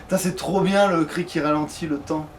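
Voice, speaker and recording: A man's voice: on the high side, fairly even intonation, fairly quick, a little hiss or hum. A man chatting casually and playfully over gameplay, slangy and prone to swearing, as a let's play streamer.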